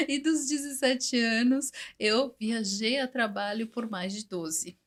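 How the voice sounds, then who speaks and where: A Chilean-born woman in her forties, a guest English teacher telling her career story in casual interview talk, animated and often ending with tag questions. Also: on the high side, slow pace. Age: 40s.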